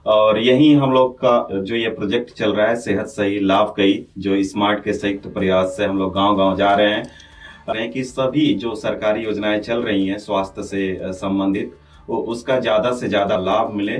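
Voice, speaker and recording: A man's voice: lowish voice, steady tone, moderate pace, recorded from a distance. A man with a North Indian accent hosting a radio interview and putting questions to his guest.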